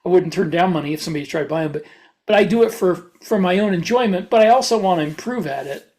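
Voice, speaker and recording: High-pitched man in casual podcast chat. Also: animated, fast talker, a little mellow. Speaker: podcast co-host and writer.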